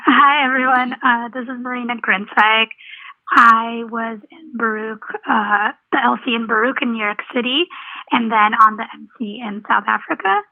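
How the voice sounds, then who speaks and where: A woman dialed in by phone introduces herself in a friendly way. Her voice is gravelly and slightly high. The line is tinny and very dry. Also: steady tone, normal speed, dry recording.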